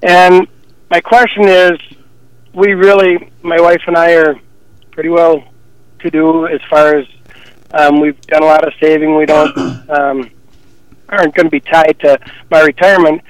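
A man in his sixties near retirement, calling a radio show with a hesitant, halting question. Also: fairly high pitch, level tone, clean tone, no echo, rough recording. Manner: hesitant, full of ums. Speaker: caller. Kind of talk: phone-in question.

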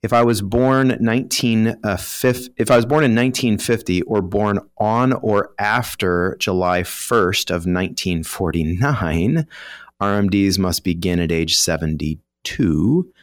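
Male financial expert, American, low register, dry studio sound, talks listeners through rules conversationally. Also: unhurried, dry recording. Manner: explanatory, informal, voices hypothetical first-person examples. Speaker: retirement planning professional, guest expert. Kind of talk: conversational explaining of financial rules on a talk show.

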